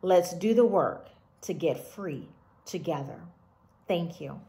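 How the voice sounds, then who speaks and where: Middle-aged woman, slow and deliberate, earnest guest speaker on webcam. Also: on the low side, mellow. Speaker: museum director, guest speaker. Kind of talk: prepared address. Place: home office with bookshelf.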